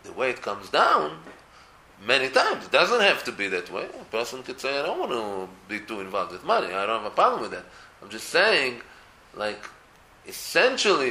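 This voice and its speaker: Israeli guy talking torah casually, gravelly bright voice, swings up and down a lot, echoey room. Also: mid-range voice, normal speed. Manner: animated, many restarts, rising to emphatic peaks. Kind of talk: casual religious talk.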